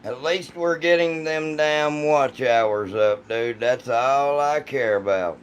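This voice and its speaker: A man with a deep, rough voice chats casually and jokingly with his livestream audience in a folksy, salty way. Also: slow pace. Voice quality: deep, rough voice from vocal cord polyps. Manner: folksy, joking, foul-mouthed. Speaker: livestream host reading and answering chat.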